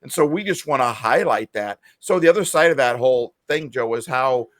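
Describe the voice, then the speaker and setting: A man's voice: medium pitch, lively intonation, brisk, no room sound. A man co-hosting a podcast over a video call, talking earnestly and emotionally about helping people. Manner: earnest, emotional, self-described feeler. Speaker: podcast co-host. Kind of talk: casual video-call chat.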